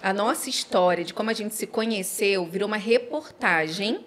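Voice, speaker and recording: A woman in her forties with a mixed Brazilian accent, telling her story in an expressive, chatty way as a podcast guest. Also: mid-range voice, normal speed. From Rio de Janeiro and São Paulo, Brazil. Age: 40s. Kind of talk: casual interview storytelling.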